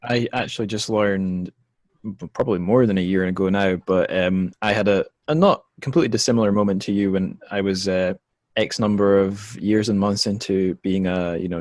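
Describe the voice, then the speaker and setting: A man from Scotland with a notably low voice hosts a casual podcast interview. He feels his way through sentences, rephrasing as he goes. The sound is dry, with very little room in it. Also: brisk, a little mellow, no echo. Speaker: podcast host.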